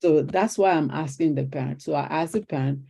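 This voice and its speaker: A woman's voice: sits low, a little fast, vocal fry, slightly rough. An older woman from Nigeria with a Nigerian accent, a retired teacher telling stories as an interview guest. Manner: animated storyteller acting out quoted exchanges. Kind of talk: conversational interview storytelling.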